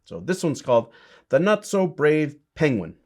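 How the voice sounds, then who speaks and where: A man's voice: fairly high pitch, very animated, normal speed, a little mellow. A man, a bishop, reading a children's storybook and chatting to kids with simple, encouraging asides.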